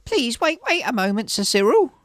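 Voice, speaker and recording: A woman acts out a nervous middle-aged village man from a storybook. The voice is high and swings widely in pitch. He stammers and hesitates, deferring and apologising timidly, recorded close and dry. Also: sing-song, normal speed, dry recording. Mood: anxious, pleading. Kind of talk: acted story dialogue.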